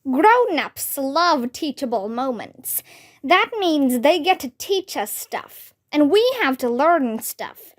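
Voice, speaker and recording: A woman reading a children's book aloud in an animated, expressive way. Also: high-pitched, slow pace. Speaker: storybook reader.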